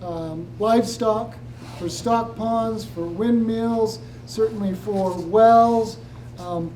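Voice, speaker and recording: Soft-spoken woman explaining from afar. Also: mid-range voice, takes it slowly. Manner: soft-spoken, with many fillers and frequent "certainly". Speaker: presenter answering questions. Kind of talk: explaining at a public meeting. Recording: distant mic with faint room noise.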